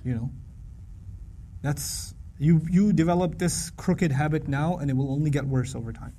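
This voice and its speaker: A man's voice: a little high, moderate pace, dark tone, a little hiss or hum. A man giving an earnest, conversational religious talk to young people, often repeating phrases for emphasis. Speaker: Islamic speaker.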